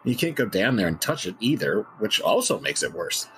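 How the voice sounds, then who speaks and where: A man's voice: on the low side, very animated, a little fast, fairly bright tone, faint music behind, low-quality recording. A man playing an unnerved, obsessed interview subject in a dramatic reading, anxious and emphatic. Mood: overwhelmed unease.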